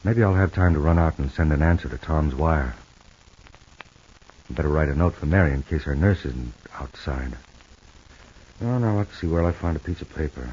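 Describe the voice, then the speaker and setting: A tender, quietly hurt American husband in a vintage radio soap, speaking in halting, musing phrases. The voice is deep and rough-edged, on a dull old recording. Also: male voice. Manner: halting, musing aloud to himself. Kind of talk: 1950s radio soap opera acting.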